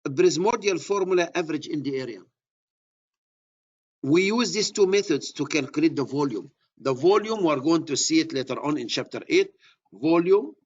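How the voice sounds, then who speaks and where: Middle-aged male engineering instructor, Egyptian accent, slightly higher pitch, husky, dull lo-fi recording. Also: lively intonation, moderate pace. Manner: repeats key words, restarts phrases. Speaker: surveying instructor. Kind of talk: classroom lecture.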